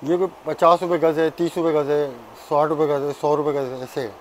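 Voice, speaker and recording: A shopkeeper with a Karachi Urdu accent, plainly listing items and prices for a vlogger. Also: male voice, on the high side, little pitch movement, fairly quick, poor audio. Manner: matter-of-fact, lists items and prices. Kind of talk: explaining goods to a vlogger.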